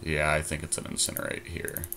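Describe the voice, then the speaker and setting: A man's voice: extremely low pitch, completely flat delivery, brisk, noisy background. A man co-hosting a podcast, talking through card picks in casual chat, thinking aloud with many fillers. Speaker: podcast co-host.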